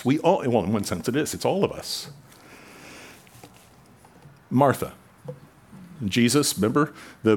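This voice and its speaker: A man preaching a sermon in a conversational way, rising in pitch and volume for emphasis. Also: slightly rough. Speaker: pastor.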